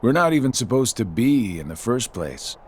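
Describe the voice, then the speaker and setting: A man's voice: mid-range voice, big pitch swings, moderate pace, slight background noise, close to an everyday voice. An actor playing a sly, mocking villain henchman with a drawling, taunting delivery in a video game cutscene. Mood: smug, casual. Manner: drawling, taunting, chuckling sarcasm.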